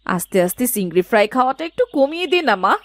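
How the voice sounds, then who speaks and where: Exasperated cartoon mother, acted by a woman, animated pitch. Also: rapid-fire, dry recording. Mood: weary scolding. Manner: scolding, complaining. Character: exasperated, nagging village mother and wife. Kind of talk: Bengali moral-story cartoon dubbing.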